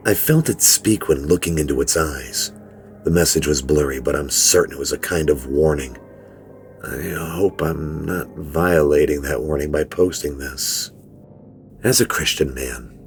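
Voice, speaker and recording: A man playing a weary, fearful middle-aged father, giving a grave, measured first-person confession in a horror story narration, with a low, gravelly voice. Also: lively intonation, faint music behind, full character voice. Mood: uneasy, confessional, then anguished, resolute.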